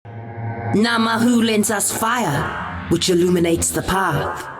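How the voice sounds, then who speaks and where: Reverent devotee over music. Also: female voice, medium pitch, sing-song, slow. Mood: reverent, proclaiming. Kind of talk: fantasy game voice line. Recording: music under the voice.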